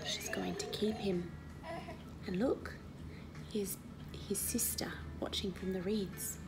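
A woman in her thirties reads a Bible story to children, explaining it and asking questions in an expressive, teacherly way. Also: medium pitch, slow pace, gravelly, in a whisper, music in the background. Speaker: Sunday school story reader. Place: indoor living room at home.

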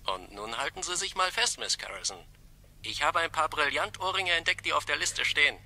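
An actor playing a brisk, husky private detective reporting eagerly in a German radio crime drama. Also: male voice, a little high, lively intonation, bright, forceful tone, heavily acted.

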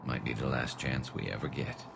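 A man voicing a wry, cocky yet tender alien soldier in a video game, with a gravelly, faintly metallic resonance and a dry, teasing delivery. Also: extremely low pitch, steady tone, normal speed, background noise. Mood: wistful, defiant. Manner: dry, teasing, laid-back.

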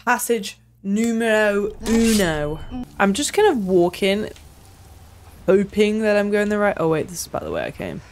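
A woman's voice: medium pitch, sing-song, moderate pace, a little hiss or hum. A young woman giving casual, playful, chatty commentary while she plays a video game. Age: young adult. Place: small indoor room at home.

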